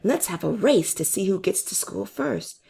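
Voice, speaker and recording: A woman voicing a modest, steady young male tortoise in a children's storybook, calm and plain-spoken. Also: on the low side, moderate pace, creaky, breathy edge, exaggerated voice. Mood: determined.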